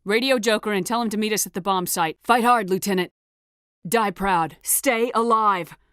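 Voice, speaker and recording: A woman's voice: medium pitch, normal speed, fairly bright tone, clean tone, no echo, clean studio sound, lightly acted. A woman playing a determined, commanding military officer in a video game, speaking in a firm, clipped, authoritative way. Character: commanding female military officer, steely, determined space soldier. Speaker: voice actor. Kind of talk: video game dialogue acting.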